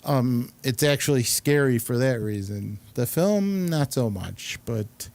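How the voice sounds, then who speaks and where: A man's voice: mid-range voice, animated, measured pace, a little mellow. A man hosting a casual round-table chat about films and TV, giving his opinions as he leads the discussion. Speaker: show host.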